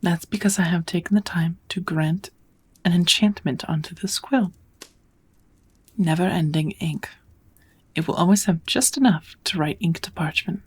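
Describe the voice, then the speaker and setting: A woman playing a kind, patient witch who teaches magic in an ASMR roleplay, speaking softly and often in a whisper, in a gentle, measured, encouraging way. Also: fairly low pitch. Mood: patient, explaining. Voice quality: soft, breathy, often whispered.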